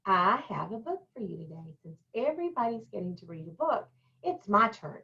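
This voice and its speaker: A woman speaks as a school storyteller for young children, with a medium-pitched voice. Her phrasing is simple and deliberate, suited to a picture book read-aloud. The recording is muffled and lo-fi. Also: big pitch swings, sharp tone. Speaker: elementary school staff member reading a picture book to children.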